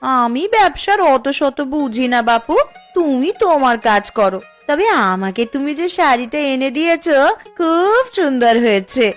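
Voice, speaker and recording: A woman's voice: sits high, very animated, normal speed, soft background music. A woman voicing a boastful village housewife in a cartoon story, with a showy, wheedling, homely way of talking. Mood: proud, chatty. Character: boastful, envy-stirring village housewife, sweet to her husband. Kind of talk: Bengali cartoon story dialogue.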